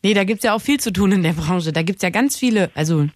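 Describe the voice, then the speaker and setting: A woman's voice: medium pitch, animated, no room sound. Female call-in host, playful and needling, dead dry studio mic. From Saxony, Germany. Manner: teasing, quick-witted, bantering. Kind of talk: call-in radio chat.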